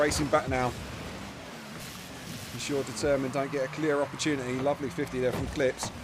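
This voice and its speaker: A man giving chatty live play-by-play commentary on a match, his voice starting to go hoarse. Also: mid-range voice, noisy background. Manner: chatty, self-deprecating asides, bursts of excitement on big plays. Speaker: esports commentator.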